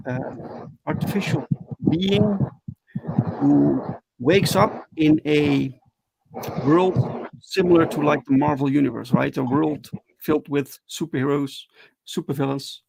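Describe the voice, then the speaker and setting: A man from the Netherlands with a Dutch accent, a comic book creator chatting as a guest on a video call, halting with many ums and restarts. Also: a little high, creaky, darkish tone, slightly rough, poor audio. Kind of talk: casual interview chat.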